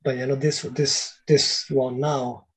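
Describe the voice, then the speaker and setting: Husky-voiced man, halting thoughtful talk. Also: mid-range voice, level tone, a bit bright. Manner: halting, thoughtful, restarts his sentences. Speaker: band singer, podcast guest. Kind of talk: casual interview chat.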